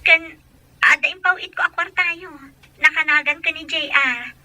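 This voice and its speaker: A woman's voice: sits high, moderate pace, pushed and bright. A woman playing an apologetic, hardworking wife far from home in an audio drama, speaking earnestly.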